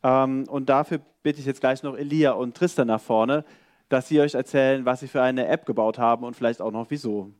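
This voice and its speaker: Man, event host, mid-range pitch, dry sound, informal announcing. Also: brisk, a little mellow, no echo.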